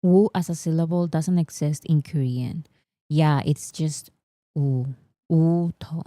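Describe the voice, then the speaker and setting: A woman's voice: low voice, steady tone, slow pace, dark tone, dry recording, clean studio sound. A young woman whose first language is Spanish, hosting a casual livestream chat, teasing and mock-boastful, laughing often. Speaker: host.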